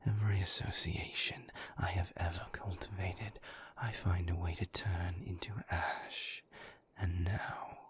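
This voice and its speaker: A man playing a moody, bitter vampire boyfriend in an intimate audio roleplay, breathy and husky, with sardonic, cutting, deliberate phrasing. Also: low-pitched, flat delivery. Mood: bitter, despairing. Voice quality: breathy, husky, gravelly half-whisper.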